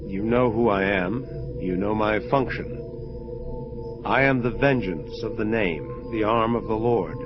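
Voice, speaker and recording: An actor playing a solemn angel detective, an avenger of the Lord, with grave, measured, declarative delivery in an audio drama. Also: male voice, lowish voice, lightly acted. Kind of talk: first-person hardboiled narration and audio drama. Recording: muffled lo-fi audio with music. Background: prominent music.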